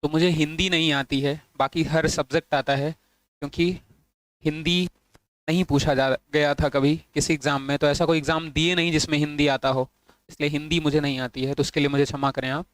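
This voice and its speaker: A man's voice: a little high, level tone, a little fast, dry recording. A man teaching an online exam-prep lesson, repeating points and asking and answering his own questions, with English phrases mixed into his Hindi.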